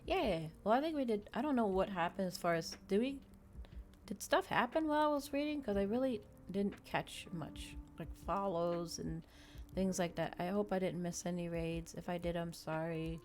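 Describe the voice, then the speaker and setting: Lower-pitched woman chatting on a livestream. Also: expressive, moderate pace, a little mellow, soft background music. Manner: rambling, repeats and restates phrases. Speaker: VTuber livestream host. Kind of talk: casual livestream chat with viewers.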